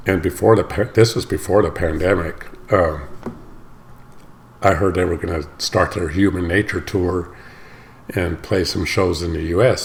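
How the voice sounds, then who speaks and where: A man hosting his own reaction channel, chatting casually to camera with a slightly hoarse voice and a rambling way of talking, often stopping to correct himself. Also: deep voice, a little hiss or hum. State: slightly hoarse, recovering from illness.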